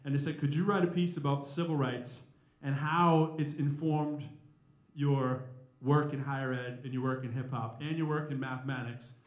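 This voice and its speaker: An energetic man in his forties speaking to a live audience as a rapper and professor, in a conversational, informal way. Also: mid-range voice, normal speed. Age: 40s. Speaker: rapper and mathematics professor giving a talk. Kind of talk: informal live talk with spoken-word rap. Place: indoor live event at a college. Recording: roomy, echoing live event recording.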